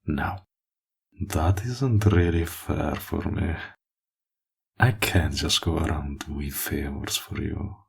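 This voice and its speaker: Very deep, gravelly villain played by a man. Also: full character voice. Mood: teasing, flirtatious. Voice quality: gravelly, husky, breathy. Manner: slow, teasing, drops into whispers. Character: smug, possessive male villain, flirtatious and menacing. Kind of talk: roleplay audio acting, intimate monologue.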